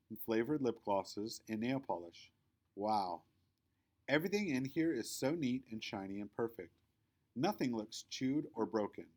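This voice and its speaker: A man reading a children's story aloud as narrator, in an expressive, emphatic read-aloud style. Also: mid-range voice. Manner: animated, emphatic. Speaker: storybook reader.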